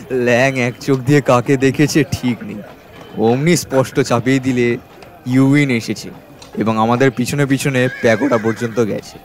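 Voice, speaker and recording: An actor playing a carefree, trusting uncle in a radio drama, chatting in a jovial, easygoing manner. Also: male voice, mid-range voice, measured pace, lightly acted. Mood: amused, chatty.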